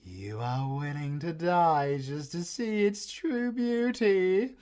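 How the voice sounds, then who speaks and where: A man's voice: fairly high pitch, lively intonation, slow pace, darkish tone. A British man voicing a possessive, sadistic werewolf villain in a theatrical audio roleplay, purring endearments and slipping between whispers and laughter. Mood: gleeful, adoring.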